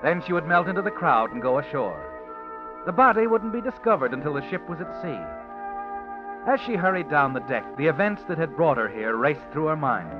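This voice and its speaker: A man plays a dramatic male narrator who knows the secrets of men and women, and he speaks in a slightly raised, lively voice. He is heard on a dull vintage radio broadcast, with loud music beneath him. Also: fairly high pitch, a little fast, natural voice. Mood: tense. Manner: brooding, dramatic. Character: the Whistler, ominous male night-walking storyteller.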